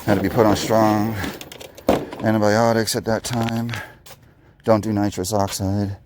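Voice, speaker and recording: Raspy guy in his 30s rambling in his yard, deep voice, loud traffic noise behind. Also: level tone, a little slow. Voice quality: raspy, low voice. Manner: rambling, candid, many restarts. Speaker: vlogger. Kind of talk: casual talk while doing yardwork. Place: outdoors in a yard.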